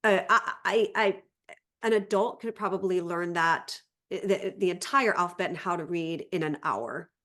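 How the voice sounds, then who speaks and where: A woman's voice: lowish voice, moderate pace, fairly bright tone. Woman teacher explaining in conversation. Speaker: Ancient Greek teacher, interview guest.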